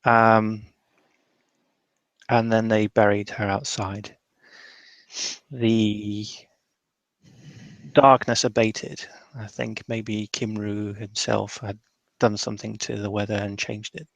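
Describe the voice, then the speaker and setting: Man, tabletop player, creaky voice, somber improvised narration, halting, dry close sound. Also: fairly low pitch, flat delivery, no echo, rough recording. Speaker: roleplaying game player.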